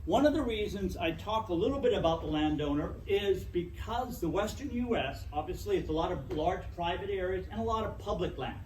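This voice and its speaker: Middle-aged male lecturer with a loud, projecting voice, a bit high, recorded from afar in an echoey room. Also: lively intonation, a little fast, hardly any pauses, slight background noise. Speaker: guest speaker. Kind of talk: public lecture to a club. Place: meeting room. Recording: echoey room, distant mic.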